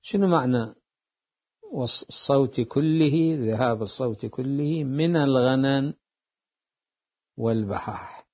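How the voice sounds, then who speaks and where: A man giving a deliberate jurisprudence lecture, repeating phrases as he explains. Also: medium pitch, darkish tone. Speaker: seminary jurisprudence teacher. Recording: dull lo-fi lecture recording.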